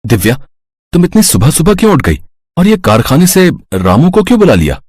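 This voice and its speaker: Man acting a husky, animated family man. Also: fairly high pitch, fairly quick, dry recording, clean studio sound. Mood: concerned. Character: male relatives in a family story, mild and admiring.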